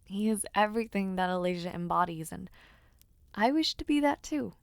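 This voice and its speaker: A woman playing an earnest, affectionate young noblewoman and would-be knight in an intimate audio roleplay, speaking with gently formal, sincere phrasing. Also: medium pitch, expressive, moderate pace, gravelly, clear, resonant tone, no echo, clean studio sound. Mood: earnest, proud. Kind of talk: audio roleplay, intimate one-sided dialogue to listener.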